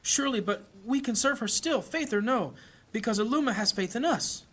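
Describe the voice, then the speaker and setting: Husky, devout fatherly priest. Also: extremely high pitch, expressive, fairly bright tone, exaggerated voice. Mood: earnest, pleading. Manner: measured, preaching. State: coughing, ailing. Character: earnest, devout old male priest, fatherly. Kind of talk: dramatic fantasy audio drama acting.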